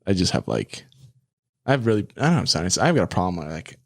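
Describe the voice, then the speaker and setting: A man's voice: sits low, huge pitch swings, fast talker, creaky, breathy edge. A young man co-hosting a casual family podcast, with a nasal voice and a loose, rambling, playful way of talking. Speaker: podcast co-host, son.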